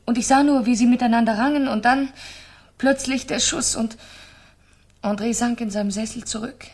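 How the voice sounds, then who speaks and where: An actress playing an anguished, pleading French wife confessing in a German radio crime drama, with a halting, urgent delivery. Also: female voice, a little high, fairly even intonation, a little mellow. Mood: shaken, confessing.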